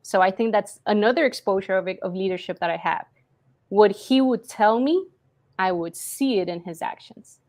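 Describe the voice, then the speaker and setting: Mid-pitched woman from Colombia, earnest. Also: normal speed, a little mellow. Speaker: guest, marketing company CEO. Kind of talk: personal story told in interview.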